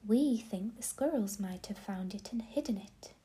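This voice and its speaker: A woman's voice: medium pitch, moderate pace, mellow, lightly acted. A woman voicing a band of eager, frolicking elves in a whisper.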